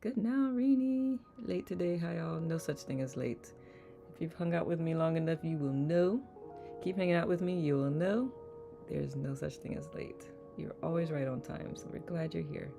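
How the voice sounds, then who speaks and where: Livestream host, casual chat, greets viewers by name, gravelly voice, guitar music underneath. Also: mid-range voice, normal speed. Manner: chatty, laughs easily, greets viewers by name. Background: soft guitar music.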